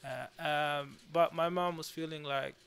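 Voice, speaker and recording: A young man from Sweden, a podcast guest, talking in a relaxed, easygoing way into a studio boom mic. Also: measured pace, creaky, slight background noise. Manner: relaxed, easygoing storytelling with soft laughs. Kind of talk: casual interview talk. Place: study room lined with bookshelves. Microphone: studio mic on boom arm, headphones on.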